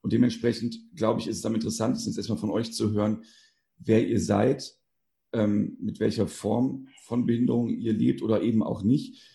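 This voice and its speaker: A man's voice: fairly low pitch, little pitch movement, brisk, creaky, warm tone. A man hosting a casual podcast interview, informal and searching for his words as he goes. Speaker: podcast host.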